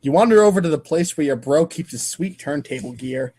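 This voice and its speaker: A man's voice: fairly high pitch, animated, fairly quick, warm tone. A man reading comic narration aloud in a casual, irreverent way, stumbling over lines now and then. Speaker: podcast co-host and reader.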